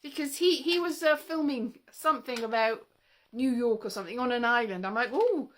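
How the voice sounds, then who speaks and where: A woman's voice: fairly high pitch, normal speed. A woman talking casually to camera in a chatty, wry, rambling way, often breaking off and interrupting herself. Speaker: vlogger showing old interview tapes.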